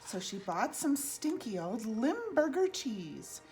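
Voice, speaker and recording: A woman with a fairly high voice reads a rhyming picture book to children. Her delivery is expressive and singsong, with big swoops in pitch and an unhurried pace. Music plays along with the reading. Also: poor audio. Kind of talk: read-aloud children's storytelling in rhyme.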